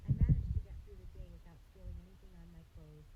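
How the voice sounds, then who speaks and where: A woman's voice: lowish voice, quick pace, phone-quality sound, very noisy. A woman reading a children's novel aloud as a teacher would for students, in a storybook narration style.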